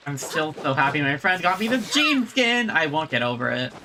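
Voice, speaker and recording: A woman's voice: sits low, sing-song, normal speed, pushed and bright, a little hiss or hum. A woman streaming a video game, giving casual commentary and reading in-game text aloud. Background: game music.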